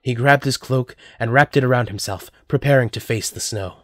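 A man's voice: medium pitch, moderate pace, fairly bright tone, dry recording, studio quality. A man narrating a scripted story as the narrator of an audio drama.

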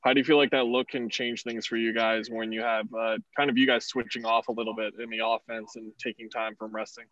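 Flat-voiced male reporter, dull line. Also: medium pitch, brisk, few pauses. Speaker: sports reporter.

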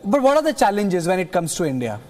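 A man in his thirties anchoring a studio news bulletin, reading the news in a measured way and then questioning a colleague with some hesitant fillers. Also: high voice, sing-song.

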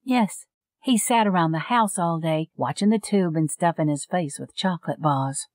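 A woman acting as an exasperated wife in a radio play. She is wry and blunt, with a slightly low voice in a dry, close recording. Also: moderate pace, no room sound, close to an everyday voice. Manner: wry, colloquial. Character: exasperated, gossipy estranged wife, frank and wry. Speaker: voice actor. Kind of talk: audio drama dialogue.

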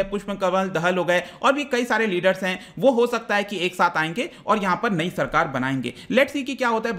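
A man's voice: high voice, fairly bright tone. A young man explaining news to exam students over slides, speaking directly to viewers and mixing in English terms. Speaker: current affairs teacher.

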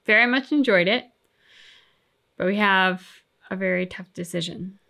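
Casting: woman, thirties, medium pitch, casual conversational reaction host. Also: normal speed, vocal fry, bright, forceful tone. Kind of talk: casual chat. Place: living room couch, indoors.

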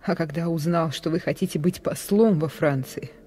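A woman's voice: low-pitched, moderate pace, mellow, breathy edge. An actress playing a dignified, sorrowful queen in a radio drama, speaking with solemn, regal restraint. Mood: reproachful. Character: dignified, sorrowful queen resisting forbidden love. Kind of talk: theatrical radio drama acting. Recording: radio play with music underneath.

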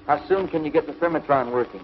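A man's voice: on the high side, quick pace, natural voice. A man playing a commanding young space hero. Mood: impatient, urgent. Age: young adult. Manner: curt, commanding, clipped orders. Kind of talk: 1950s TV sci-fi serial acting. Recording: dull, noisy 1950s TV soundtrack.

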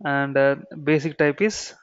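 Indian guy doing a software tutorial, repeats himself, says okay and right a lot, dry lofi audio. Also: medium pitch, measured pace, clear, resonant tone, no room sound. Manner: repeats key phrases, checks with "okay" and "right". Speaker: software trainer. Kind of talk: explanatory screen-share tutorial. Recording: dull, muffled lo-fi sound.

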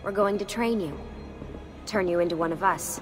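An actress playing a guarded, earnest young woman assassin in a video game, speaking in a measured way. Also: fairly low pitch, lightly acted. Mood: grave, persuasive. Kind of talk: video game cutscene acting. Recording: music behind the voice.

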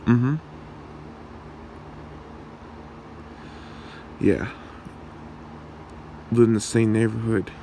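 A man's voice: lowish voice, creaky, a little hiss or hum. A man playing a shy, awkward young man smitten with his friend, speaking hesitantly in an ASMR roleplay. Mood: flustered, friendly. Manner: hesitant, halting, soft-spoken.

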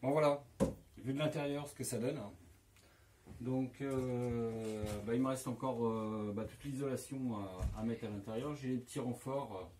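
A man's voice: medium pitch, fairly even intonation, moderate pace, darkish tone, slight background noise. A man in his forties with a French accent casually explaining a camper van rebuild in a large workshop, talking through a lapel mic with many hesitations and restarts. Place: large workshop garage, indoors.

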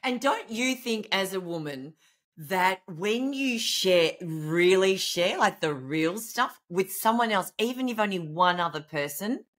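A middle-aged woman with a naturally loud voice, hosting a relaxed interview from home over a webcam. She talks in an animated, expressive way, warm and chatty, and laughs easily. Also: mid-range voice, unhurried. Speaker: podcast host. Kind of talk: conversational interview over video call. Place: indoor home room. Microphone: video call webcam.

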